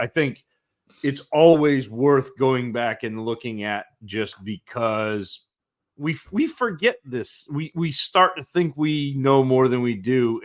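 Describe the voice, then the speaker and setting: A man's voice: medium pitch, a bit bright. A man with a mid-range voice chats casually as a podcast co-host. He is friendly and stumbles over his words, repeating them as he goes. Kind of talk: casual chat.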